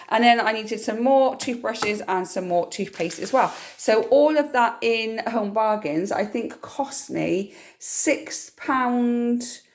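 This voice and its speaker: A woman chats in an easy, homely way, her voice a little croaky and hoarse from a dry cough. She rambles on in a mid-range voice. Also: medium pitch. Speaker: vlogger. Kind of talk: casual grocery haul vlog.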